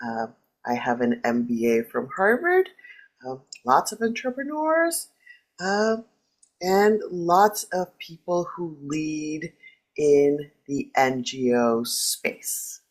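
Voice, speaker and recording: Low-voiced, lively woman coach. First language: Spanish. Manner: lively, playful, quick jumps in pitch. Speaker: leadership coach. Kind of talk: casual chat.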